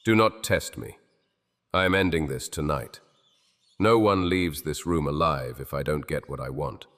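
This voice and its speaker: An actor playing a grieving, desperate king in a dark fantasy audio drama, speaking in slow, solemn, measured threats. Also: a bass voice. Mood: grimly threatening. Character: grieving cursed old king, grave and desperate. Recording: reverberant with soft music behind.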